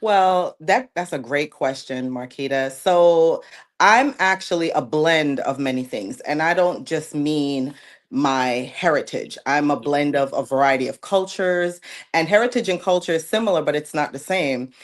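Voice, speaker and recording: Woman, low pitch, light Jamaican accent, reflective interview guest, forties. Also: a little slow. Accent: Jamaican, coming through now and then. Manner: warm, reflective, often says "absolutely" and "thank you". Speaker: guest, plus-size model. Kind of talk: casual interview chat.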